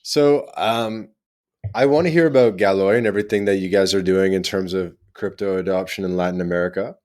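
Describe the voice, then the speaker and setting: A man's voice: deep voice, fairly even intonation, brisk, darkish tone. A man hosting a podcast, chatting casually and loosely with a remote guest. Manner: chatty, loose, with fillers and restarts. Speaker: podcast host. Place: in Europe, remote.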